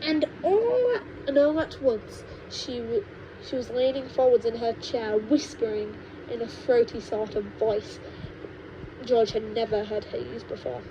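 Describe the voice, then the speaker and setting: A woman reading an audiobook as an amateur storyteller, haltingly, sometimes repeating words. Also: muffled recording. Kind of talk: audiobook storytelling narration, informal asides. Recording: noisy low-quality home microphone.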